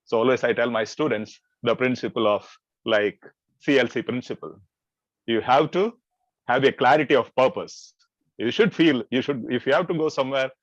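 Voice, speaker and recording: A man's voice: medium pitch, no room sound. Man, teacher on a panel, earnest and advisory, muffled dry call audio. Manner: earnest, advisory, uses numbered principles. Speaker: teacher and guest speaker. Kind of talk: panel talk on a video call.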